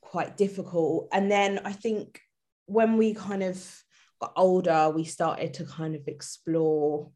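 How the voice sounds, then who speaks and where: Brief: female guest, low pitch, loose chatty storyteller full of fillers. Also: fairly even intonation, slow, warm tone. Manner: rambling, heavy with "like" and "kind of". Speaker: guest, co-founder. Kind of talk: storytelling in casual conversation.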